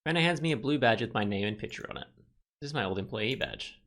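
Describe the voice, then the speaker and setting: A man's voice: medium pitch, lively intonation, fast, fairly bright tone. A young man hosting a casual let's play, reading game text aloud and musing informally over it. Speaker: gaming video host.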